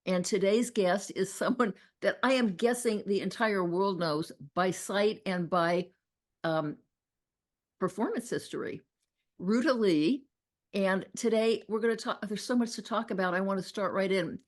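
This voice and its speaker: A woman hosting an interview, asking her questions haltingly as she searches for words. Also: mid-range voice. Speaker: interview host. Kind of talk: conversational interview.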